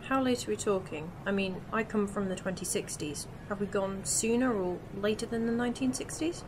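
A woman's voice: mid-range voice, fairly quick, noisy background, close to an everyday voice. A woman playing a curious, sceptical young companion from the future in a fan audio drama. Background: music.

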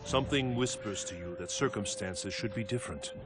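A solemn mystic counsellor acted by a man in a fighting game. His voice is fairly low and his phrasing formal and measured, full of cryptic wisdom. Music is clearly present underneath. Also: lightly acted. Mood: probing. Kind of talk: video game cutscene acting.

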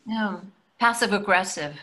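A woman's voice: medium pitch, a little slow, pushed and bright, slight background noise. A woman in her sixties playing a placating, dryly chiding wife in a readers theatre play. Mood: reasoning. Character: placating, gently needling middle-aged wife. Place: plain room indoors on a video call.

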